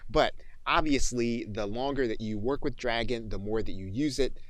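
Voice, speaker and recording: A man narrating an instructional software tutorial with methodical, deliberate phrasing. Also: medium pitch, dry recording. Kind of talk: tutorial narration with spoken dictation demonstration.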